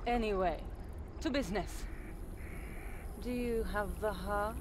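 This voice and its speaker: An actress playing a menacing, grandiose villainess in a video game, with a theatrical, drawn-out, haughty delivery. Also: female voice, mid-range voice, background noise, lightly acted. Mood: smug menace. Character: menacing, grandiose villainous woman claiming to be a goddess.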